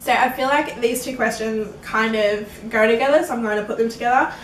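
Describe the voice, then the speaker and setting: A woman's voice: fairly high pitch, fairly quick. Giggly 18 yr old girl doing a beauty q&a, lots of um and like, roomy echo, a bit far from the mic, faint hiss. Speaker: beauty vlogger. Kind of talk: casual Q&A vlog.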